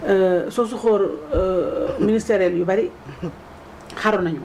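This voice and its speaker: Senegalese woman interviewer, medium pitch. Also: fairly even intonation, unhurried, darkish tone, slight background noise, rough recording. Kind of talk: conversational political interview.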